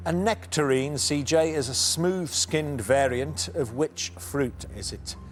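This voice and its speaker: A middle-aged man hosting a TV quiz in a studio, reading questions briskly and teasing the contestants. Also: on the high side, slight background noise. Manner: brisk, teasing, reads multiple-choice questions. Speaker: quiz show host.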